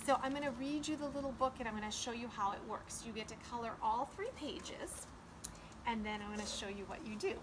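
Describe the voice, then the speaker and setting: A woman's voice: on the high side, brisk, background noise. A woman preschool teacher reading and explaining simply and instructively to young children. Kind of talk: children's read-aloud and simple lesson.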